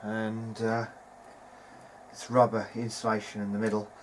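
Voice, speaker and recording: A man with a lowish voice talks informally through hands-on repair work. He is hesitant and seems to work things out as he speaks. The recording carries noticeable background noise. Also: a little slow. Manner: hesitant, thinking aloud. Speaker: hobbyist radio restorer, presenter. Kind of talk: informal workbench commentary. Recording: clear steady background noise.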